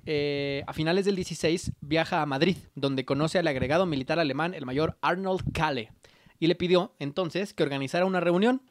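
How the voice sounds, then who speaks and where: A man's voice: fairly high pitch, brisk, dry recording. A young man with a Mexican accent, reading history notes on a podcast and dropping slangy asides, recorded on a desk mic in a small studio. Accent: Mexican Spanish. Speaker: podcast host telling the story. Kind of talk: casual history podcast narration. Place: small home studio with colorful shelves. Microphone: desk mic on arm, headphones.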